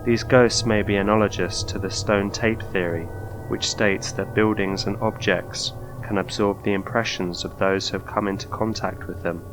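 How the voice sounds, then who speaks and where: A man narrating a scripted ghost story in documentary style, with measured, formal delivery. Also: fairly low pitch, steady tone, music in the background, rough recording.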